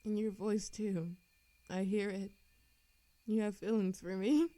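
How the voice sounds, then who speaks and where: A woman performs a world-weary immortal woman hopelessly in love, in a romantic audio drama. She speaks slowly and with dramatic yearning, at a medium pitch. The sound is dry and close, with no room around it. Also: steady tone, warm tone, dry recording, close to an everyday voice. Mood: tender yearning. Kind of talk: scripted romantic audio roleplay acting.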